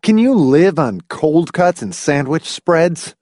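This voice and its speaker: Man reading as a resentful, dismayed husband, animated. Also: a little high, slow pace, no room sound, studio quality, pitched above the performer's own voice. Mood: indignant.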